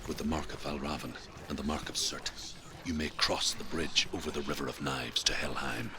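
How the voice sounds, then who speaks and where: Super deep grave norse storyteller, ominous, game audio with noise behind. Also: male voice, fairly even intonation, normal speed. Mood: solemn. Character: solemn, ominous male storyteller of Norse myth. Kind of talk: video game voice acting. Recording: clear noise from game ambience.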